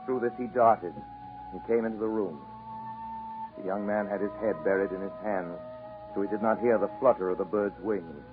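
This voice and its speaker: A man giving scripted storybook narration in measured phrases, in the style of 1940s radio drama. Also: on the low side, fairly even intonation, phone-quality sound, close to an everyday voice. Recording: 1940s radio broadcast over music.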